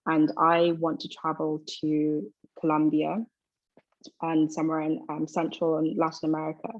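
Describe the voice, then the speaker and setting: Low, flat-voiced young woman. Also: no room sound. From London, England. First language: Twi. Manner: reflective, measured, with soft fillers. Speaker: guest. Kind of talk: thoughtful interview answers. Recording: slightly muffled video call audio.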